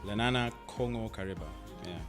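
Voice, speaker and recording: A man's voice: fairly low pitch, fairly quick, soft background music. A young Kenyan man, an actor, chatting easily in a radio interview, laughing often and filling with "like" and "I mean". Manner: relaxed, laughs often, uses "like" and "I mean" a lot. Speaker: actor guest. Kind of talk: radio interview, casual chat.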